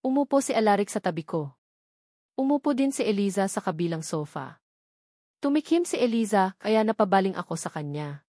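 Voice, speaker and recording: A woman's voice: medium pitch, big pitch swings, moderate pace, mellow, no room sound, clean studio sound. A woman with a Filipino accent reading a first-person romance novel as scripted audiobook narration.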